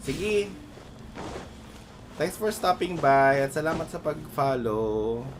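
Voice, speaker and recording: A man's voice: on the high side, lively intonation, slow, background noise. A man with a Filipino accent chatting casually as a game streamer, switching between two languages. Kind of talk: casual chat, switching between Tagalog and English. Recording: game music playing over the voice. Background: game music.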